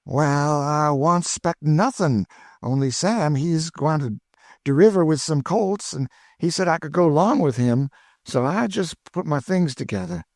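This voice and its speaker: A man's voice: fairly high pitch, lively intonation, unhurried, no echo, studio quality, pitched above the performer's own voice. A man voicing an eager, chatty older woman cook with an in a storybook reading. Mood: delighted. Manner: exclaiming, folksy, eager.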